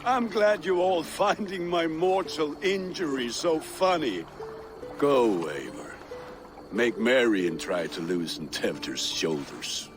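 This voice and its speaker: A man's voice: mid-range voice, big pitch swings, slow pace, music in the background. A man playing a proud, playful, grumbling old Viking warrior in a dramatic game cutscene. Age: older. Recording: faint outdoor ambience.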